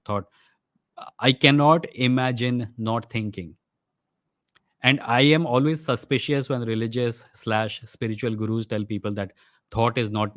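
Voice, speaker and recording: A young man from India with an Indian accent and Odia as his first language, hosting a livestream Q&A. He answers viewer questions in a quick, conversational way and often switches into Hindi mid-sentence. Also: mid-range voice, dry recording, studio quality. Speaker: host of a live stream.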